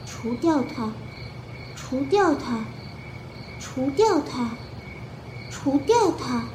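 A woman's voice: high voice, lively intonation, drawn-out words, background noise, exaggerated voice. A woman acting a bewitched, resentful young servant girl in an audio drama, trance-like and repetitive in her murderous intent. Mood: chanting, murderous. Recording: echo effect over loud music.

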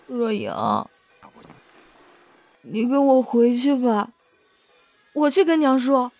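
Woman acting high-voiced naive lovestruck girl, costume drama. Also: slow, mellow, no room sound, soft background music. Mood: pleading. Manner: artless, pleading, refers to herself by name. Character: childlike, naive, devoted lovestruck martial-sect girl.

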